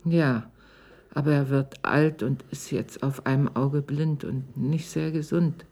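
An actress playing an anxious, apologetic, hesitant housewife in a German radio crime drama. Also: female voice, low voice, fairly even intonation, slow, darkish tone, exaggerated voice. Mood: worried, sorrowful.

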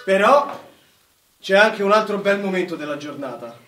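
An actor playing a bitter, worldly young Italian soldier of the First World War, talking colloquially and insistently. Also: male voice, high voice, animated, normal speed. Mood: teasing, wistful. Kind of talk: live stage or radio war drama acting. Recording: roomy, distant microphone, music behind.

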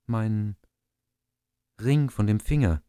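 A man reading the lines of an anxious young woman who becomes queen, softly and hesitantly. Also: on the low side, slow, smooth, dry recording, studio quality. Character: anxious young miller's daughter turned queen.

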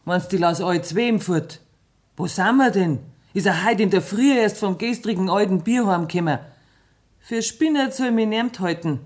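An actress with a Bavarian accent playing an indignant, scolding farm wife in a radio play. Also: female voice, mid-range voice, normal speed, darkish tone, natural voice. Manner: scolding, emphatic dialect. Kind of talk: 1960s radio play acting.

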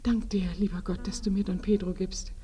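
An actress in a radio play voicing a bashful, flustered village bride-to-be who is devout and humbly grateful, hesitant and often close to whispering. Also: female voice, medium pitch, little pitch movement, brisk, dark tone, natural voice. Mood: overjoyed, humble.

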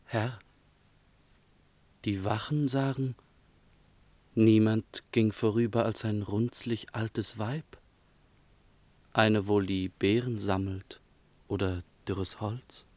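An actor playing a deferential chamberlain in a German radio drama, reporting to his master in a measured, subdued way. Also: lowish voice, steady tone, a little mellow, no room sound.

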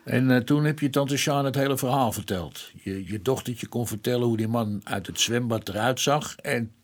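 A man with a Dutch accent playing a blunt, colloquial police detective puzzling over a case in a radio drama, with a gravelly voice. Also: medium pitch, moderate pace, no room sound, natural voice. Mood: probing. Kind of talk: naturalistic radio drama acting.

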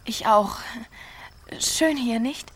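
A woman's voice: a little high, drawn-out words. Blunt teenage girl, noisy ambience. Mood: playful, probing. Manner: blunt, provocative. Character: seventeen-year-old village girl, forward, defiant, blunt. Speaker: radio drama actress. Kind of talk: radio play acting in standard German.

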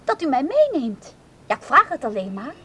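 A woman's voice: high-pitched, huge pitch swings, moderate pace, bright, forceful tone, exaggerated voice. An actress playing a timid, unworldly woman in a radio drama, hesitant and apologetic as she speaks. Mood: shy, hopeful. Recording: audibly noisy radio play recording.

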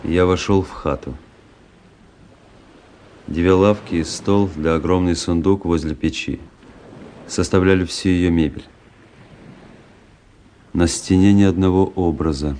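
Deep, mellow, pensive officer narrator. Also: male voice, fairly even intonation, a little hiss or hum. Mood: pensive, uneasy. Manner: pensive, measured storytelling. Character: reflective army officer recounting his travels. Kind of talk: scripted literary narration in radio drama.

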